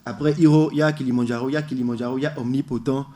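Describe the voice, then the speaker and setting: A man's voice: medium pitch, fairly even intonation, racing, mellow. Earnest man explaining his music. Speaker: musician guest. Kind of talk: radio interview. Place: radio studio.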